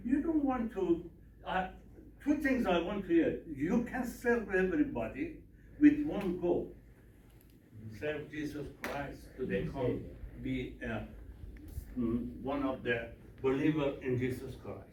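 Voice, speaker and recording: A man's voice: fairly high pitch, animated, slow, darkish tone. A middle-aged man from Iran with a Persian accent, a minister speaking broken English to a group and putting questions to them. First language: Farsi. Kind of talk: workshop talk with audience questions. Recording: echoey room from a distance, faint noise.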